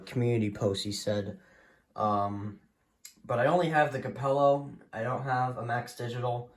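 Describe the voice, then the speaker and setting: A man giving a casual, unscripted show-and-tell as a hobbyist, speaking hesitantly and often trailing off. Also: lowish voice. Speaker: hobbyist collector presenting a radio collection.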